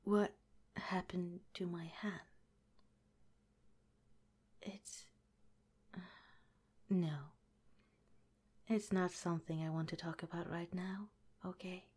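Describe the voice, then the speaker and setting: A woman's voice: fairly low pitch, steady tone, takes it slowly, long pauses, breathy edge. A woman playing a whispering, tender lab director. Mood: soothing. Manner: soft, tender, reassuring. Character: gentle caring female head scientist, middle-aged, soothing. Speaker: institute director in an audio roleplay. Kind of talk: ASMR roleplay.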